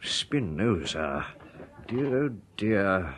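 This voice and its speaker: An actor playing a fussy, befuddled old bookseller who mutters hesitantly in a radio comedy. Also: male voice, low-pitched, big pitch swings, extremely slow, heavily acted. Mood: fretful, muttering. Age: older.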